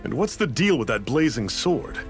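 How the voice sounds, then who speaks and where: An actor playing a seasoned, fatherly rebel leader in a video game, with a relaxed, folksy, mentoring way of talking. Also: mid-range voice, normal speed, soft background music. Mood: wry, explaining.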